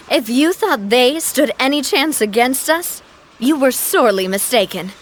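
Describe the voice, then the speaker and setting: An actress playing a confident, spirited young woman who leads a gang with kindness, in expressive video game acting. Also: high-pitched, a little slow, a little hiss or hum, low-quality recording. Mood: firm, commanding.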